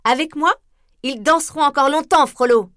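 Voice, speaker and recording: Storybook heroine: young dancer, cheeky, defiant, lively, a woman puts her on. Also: high-pitched, moderate pace, no room sound, clean studio sound, pitched above the performer's own voice.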